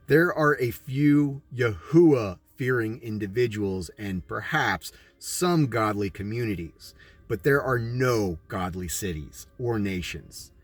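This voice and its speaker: An American man reading aloud and adding his own commentary in an emphatic, preachy manner, like a sermon. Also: medium pitch. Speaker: audiobook reader and commentator.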